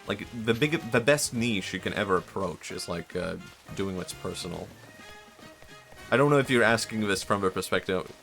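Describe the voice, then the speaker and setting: A young man chats live in a very low voice, rambling and hesitant, full of fillers and restarts. He pokes fun at himself as he goes. Faint music plays under his voice. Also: lively intonation, normal speed, gravelly. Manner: self-mocking, laughs softly. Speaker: streamer. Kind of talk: casual live-stream chat.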